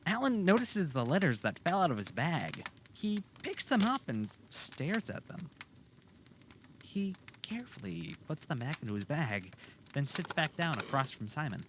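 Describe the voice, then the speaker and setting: Male narrator for amateur audio drama: animated intonation, scripted delivery, slightly noisy recording. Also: fairly high pitch, normal speed.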